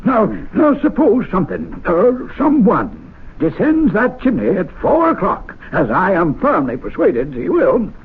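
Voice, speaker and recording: A fussy, excitable club gentleman. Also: sits high, unhurried, slightly rough, full character voice. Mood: chatty. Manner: fussy, eager, rambling, given to excited outbursts. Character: excitable, fussy middle-aged male gentleman's club member. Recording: tinny old radio broadcast.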